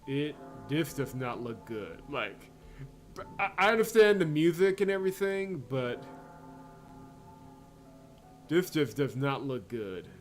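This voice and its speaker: A man's voice: medium pitch, very animated, takes it slowly, long pauses, soft background music. A man giving casual commentary as host of a visual novel playthrough. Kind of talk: casual game commentary with character read-alouds.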